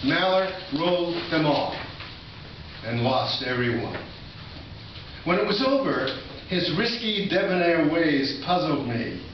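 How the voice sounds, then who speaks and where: A man's voice: fairly high pitch, animated, unhurried, highs cut off. Echoey, distant older New man reading poetry. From Massachusetts, USA. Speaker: poet. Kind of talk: live poetry reading with informal anecdotes. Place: indoor college reading venue, recorded from a distance. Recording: distant, echoey room recording with noticeable noise.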